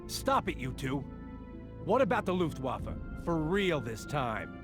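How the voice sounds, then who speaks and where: Slow-talking, terse army general. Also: male voice, on the high side, sing-song. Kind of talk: video game cutscene dialogue. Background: game music.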